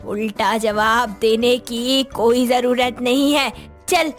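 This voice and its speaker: A woman's voice: fairly high pitch, fairly even intonation, slow pace, soft background music. A woman voicing a commanding, scolding elder grandmother-in-law in a moral story. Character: bossy elder grandmother-in-law, head of the household. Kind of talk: Hindi animated moral story voice acting.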